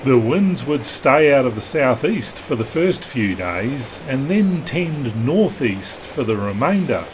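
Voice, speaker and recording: A man giving calm, instructive scripted narration as host of a sailing vlog. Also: medium pitch, slow, few pauses. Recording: wind noise on deck.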